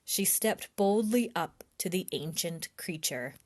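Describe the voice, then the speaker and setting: A woman reads a children's story aloud at a slow pace. Her pitch moves in lively swings, as in a library storytime, and her delivery is expressive and easy to follow. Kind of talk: expressive read-aloud of a children's chapter book.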